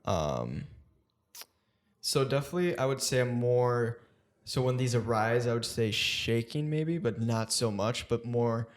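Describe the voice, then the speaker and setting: A man's voice: mid-range voice, lively intonation, a little slow. A young man hosting a podcast interview, talking hesitantly with many fillers. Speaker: podcast host.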